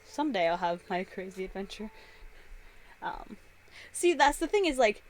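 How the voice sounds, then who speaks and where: A woman's voice: medium pitch, sing-song, normal speed, a little hiss or hum, poor audio. A young woman chatting casually while drawing on a live stream, rambling through stories and laughing often.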